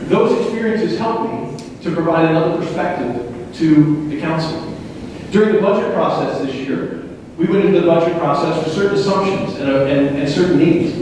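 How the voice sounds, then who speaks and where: A man speaking as a city council candidate in a debate, measured and businesslike, with a few hesitations. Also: fairly high pitch, noisy background. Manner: measured, businesslike, some "uh" fillers. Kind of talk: debate answer. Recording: echoey hall, distant mic.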